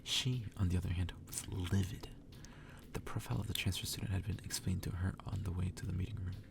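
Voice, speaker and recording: A man reading a story aloud in a hushed, unhurried, breathy ASMR whisper. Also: low voice, steady tone. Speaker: reader. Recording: distant mic with clear background noise.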